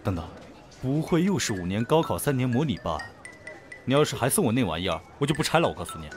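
An actor playing a brash, lovesick teenage schoolboy in an audio drama, grumbling and easily exasperated. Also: male voice, mid-range voice, big pitch swings, quick pace, music in the background, natural voice. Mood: grumpy hopeful. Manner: foul-mouthed, grumbling, swinging into outbursts. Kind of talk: modern audio drama acting.

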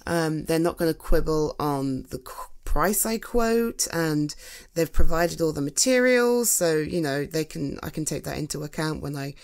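A British woman playing a supportive, gently teasing friend in an ASMR roleplay, talking in a hesitant, reassuring, conversational way. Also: low voice, moderate pace. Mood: casual, amused. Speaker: audio roleplay performer.

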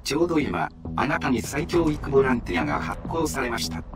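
An actor playing a polite, emotionless android warden in a video game, giving formal instructions in a measured, procedural manner. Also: male voice, a little high, lively intonation, music in the background. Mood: formal, impassive. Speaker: guide and instructor. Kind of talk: scripted video game dialogue, formal announcements.

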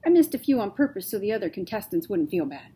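A woman voicing a cocky schoolboy who talks loud and boastful. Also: medium pitch, sing-song, fast talker, slightly rough. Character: cocky tall schoolboy showing off.

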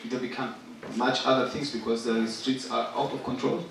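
A young man in his twenties from Syria, a rapper talking on a theater stage, halting and searching for words. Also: medium pitch, steady tone, fairly bright tone, distant mic, slight background noise. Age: 20s. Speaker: rapper and guest artist. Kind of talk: stage talk. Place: large theater stage with live audience. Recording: echoey stage, picked up from afar.

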